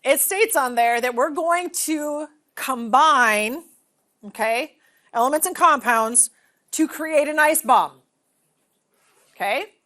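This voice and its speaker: A woman's voice: high-pitched, slow, pauses often, bright, forceful tone. A woman in her forties leading a hands-on classroom demonstration, asking the room questions and checking in with "okay?". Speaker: teacher trainer. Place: large training room.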